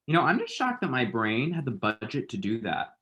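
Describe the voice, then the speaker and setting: A man's voice: mid-range voice, huge pitch swings, a little fast, sharp tone, a little hiss or hum. A man acting a lovelorn young man in a comedy screenplay read, with campy, self-deprecating banter. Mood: breezy banter. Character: Eli, lovelorn self-mocking young man, dramatic best friend. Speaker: actor at an online screenplay table read. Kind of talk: conversational comedy screenplay reading.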